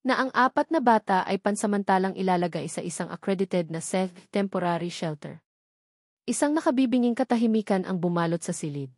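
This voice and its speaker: A woman with a Filipino accent reads a dramatic story as scripted narration, in a measured, solemn manner. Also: mid-range voice, expressive, a little mellow, no echo, clean studio sound.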